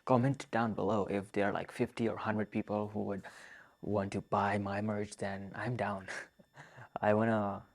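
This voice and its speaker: A young man in his twenties, from Pakistan, answering viewer questions in a soft-spoken, earnest vlog. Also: lowish voice, little pitch movement, measured pace. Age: 20s. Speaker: vlogger. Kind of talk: casual Q and A monologue.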